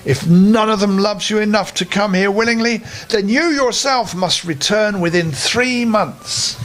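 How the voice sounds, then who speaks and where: A man voicing an angry beast in a children's fairy tale, with slow, drawn-out, menacing words. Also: high voice, a bit bright, pitched above the performer's own voice. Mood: commanding. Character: huge angry roaring male beast, later sorrowful.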